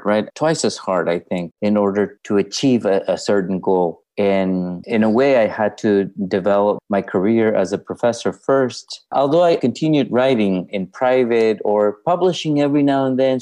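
A very low-voiced man from Peru, whose first language is Spanish, talks through his answers at an easy, reflective pace. He often says "you know" and restarts a word or two as he gathers his thoughts. The sound is dry and close, with no room around it. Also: warm tone, no echo. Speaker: guest writer and professor.

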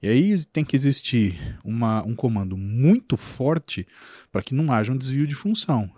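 A man's voice: mid-range voice, moderate pace, no room sound. Emphatic Brazilian man, dry close sound. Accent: Brazilian Portuguese. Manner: animated, storytelling, emphatic repetition. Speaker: physician guest. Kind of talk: casual podcast interview.

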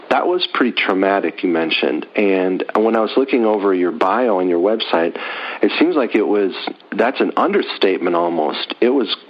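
A man hosting a podcast, interviewing a guest in a friendly, self-deprecating way. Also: on the low side. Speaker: podcast host. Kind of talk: interview conversation. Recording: muffled lo-fi audio.

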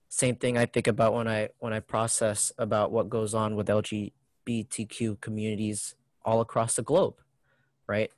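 A man's voice: mid-range voice, no echo. A man talking in an earnest, reflective way, often ending his thoughts with a questioning "right?". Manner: earnest, ends phrases with "right?". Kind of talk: reflective conversational talk.

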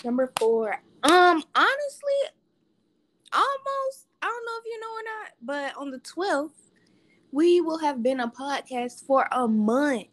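A woman's voice: high-pitched, big pitch swings, a little slow, fairly bright tone. A woman co-hosting a podcast in a casual chat, playful, laughing often and using slang. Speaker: podcast co-host.

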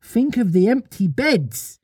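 A performer doing a patient, motherly storybook girl, unhurried. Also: mid-range voice, sing-song, slightly rough, no room sound, clean studio sound, pitched above the performer's own voice, exaggerated voice. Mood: patient, insistent. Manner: patient, gently scolding storyteller. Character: gentle, motherly young girl telling a bedtime story.

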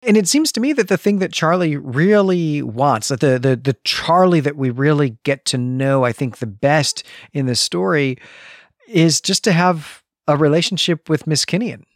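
A man's voice: on the high side, lively intonation, normal speed, no echo, studio quality. A man co-hosting a podcast, thoughtfully talking through literary analysis in a conversational way, building points in long, rephrased clauses. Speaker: podcast co-host.

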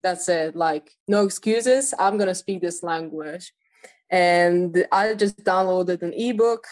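A woman's voice: fairly low pitch, a little mellow. An eighteen-year-old woman from Ukraine, a native Russian speaker, answering interview questions with many fillers and restarts. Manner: quick, with frequent fillers and restarts. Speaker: podcast guest, polyglot.

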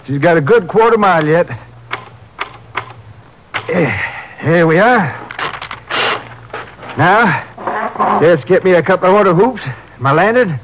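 An actor playing a folksy, unhurried small-town Texas railroad station master in a 1950s radio drama. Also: male voice, high-pitched, lively intonation. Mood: unhurried, reassuring. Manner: garrulous, unflappable, folksy. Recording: noisy old 1950s radio recording.

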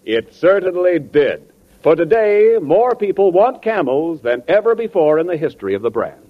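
A man's voice: a little high, very animated, moderate pace. An American man announcing in polished, emphatic 1940s radio style, reading a scripted advertisement. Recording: dull old 1940s radio recording.

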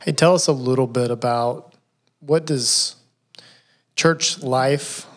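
A man's voice: medium pitch, takes it slowly. A man co-hosting a church podcast who asks casual, playfully teasing follow-up questions. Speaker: podcast co-host.

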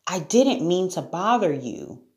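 A woman puts on a polite little girl from a storybook, timid yet plucky. Her voice sits well up high and moves in lively swoops as she pleads and apologises. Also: measured pace. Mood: timid, apologetic. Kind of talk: children's storybook character voice.

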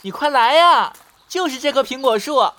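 A man playing a shy, playful, lovestruck young male fox spirit in a period Chinese audio drama, with an expressive, bashful, slightly whiny delivery. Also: very high voice, moderate pace, full character voice. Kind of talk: ancient-China romance audio drama acting.

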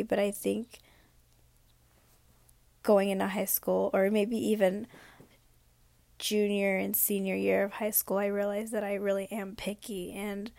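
A sick young woman who is losing her voice talks in a rambling, reflective way in a late-night personal vlog. She is hoarse and sometimes drops into a whisper. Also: mid-range voice, a little mellow, dry recording. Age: young adult. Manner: rambling, reflective, many fillers.

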